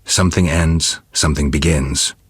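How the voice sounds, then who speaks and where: A man playing a stoic, weary monster hunter in a video game, terse and deadpan, with a raspy voice. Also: normal speed, dry recording, clean studio sound, full character voice. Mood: dry, calm. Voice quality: raspy, gravelly low voice. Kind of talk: video game character dialogue.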